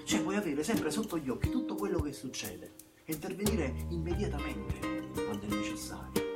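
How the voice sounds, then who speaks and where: Over loud plucked guitar music comes a bitter young man from a small southern Italian town. His voice sits a little high, with a Campanian accent. He delivers a theatrical monologue in plain, colloquial language, driving points home through repetition. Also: big pitch swings, normal speed, far from the mic. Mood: matter-of-fact, bitter. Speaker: stage actor. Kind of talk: theatrical monologue, first-person narration. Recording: music behind the voice.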